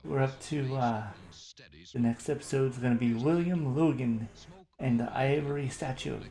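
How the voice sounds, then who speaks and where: Creaky-voiced man hosting a livestream. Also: mid-range voice, moderate pace, warm tone. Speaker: host of old-time radio livestream. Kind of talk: casual livestream announcements.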